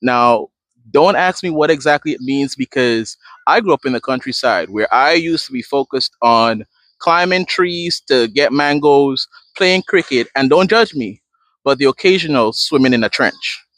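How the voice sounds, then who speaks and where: A man's voice: medium pitch, expressive, moderate pace, fairly bright tone, no echo. A man from Guyana, a therapist in training, explaining a coping tool step by step in a conversational talk. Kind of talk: direct-to-camera advice talk.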